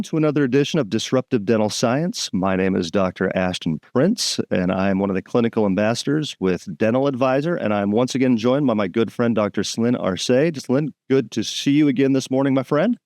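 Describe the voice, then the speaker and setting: A man's voice: mid-range voice, expressive, a little fast, darkish tone, no echo, studio quality. A man hosting a dental podcast, upbeat and friendly in his introductions and questions. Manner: upbeat, friendly, self-deprecating. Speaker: podcast host.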